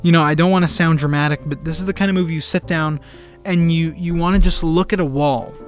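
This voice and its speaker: An earnest young man talks through a film review in a casual, rambling way. He stumbles over his words and restarts his sentences. His voice sits a little high for a man, and faint music plays underneath. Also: steady tone, fast talker. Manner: earnest and enthusiastic.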